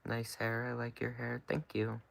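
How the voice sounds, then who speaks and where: A man's voice: mid-range voice, completely flat delivery, moderate pace, creaky, fairly bright tone. A young man from California with an American accent, chatting casually on a livestream and giving laid-back, offhand replies to viewers. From California, United States.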